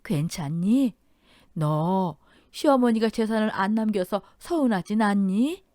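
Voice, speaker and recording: A woman's voice: medium pitch, lively intonation, unhurried, warm tone, dry recording, clean studio sound, pitched above the performer's own voice, close to an everyday voice. A woman voicing an outspoken older aunt-in-law who speaks in a blunt, scolding way in an audio drama. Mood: gentle.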